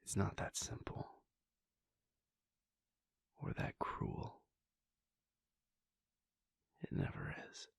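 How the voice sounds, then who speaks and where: A man voicing a brooding, conflicted male vampire prince in a whispered audio roleplay monologue, hesitant and breathy. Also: extremely slow, long pauses, no echo. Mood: wistful. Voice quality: gravelly, breathy. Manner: soft whispering, hesitant restarts.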